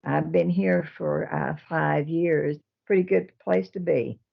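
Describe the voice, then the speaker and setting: Slow, gravelly older woman. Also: deep voice, steady tone. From Texas. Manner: slow and halting, with many pauses and fillers. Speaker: nursing home resident and resident council president. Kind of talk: casual interview answers. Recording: dull lo-fi recording.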